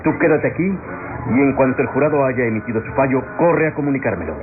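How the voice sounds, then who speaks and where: Tinny radionovela gentleman played by an actor, commanding. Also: medium pitch, fast, music in the background, lightly acted. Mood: resolute. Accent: Latin American. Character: startled, commanding young gentleman, concerned son. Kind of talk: classic Latin American radionovela acting. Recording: tinny, noisy old radio recording.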